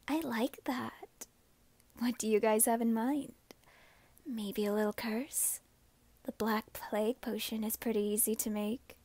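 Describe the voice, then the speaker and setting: A woman's voice: on the high side, steady tone, takes it slowly, long pauses, dark tone, dry recording. A woman playing a mischievous, grateful young witch sister back from the grave, in an ASMR roleplay.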